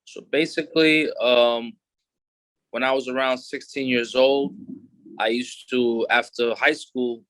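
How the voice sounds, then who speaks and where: A man's voice: medium pitch, unhurried, dry recording, rough recording. A man in his thirties with a New York accent, talking casually as an interview guest with lots of fillers and restarts. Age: 30s. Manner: relaxed street talk with many fillers and restarts.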